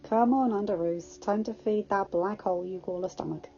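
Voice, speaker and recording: A woman's voice: mid-range voice, moderate pace, warm tone, dull audio, soft background music, natural voice. Woman playing a teasing, theatrical fatherly man. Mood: fond. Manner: teasing, mock-offended.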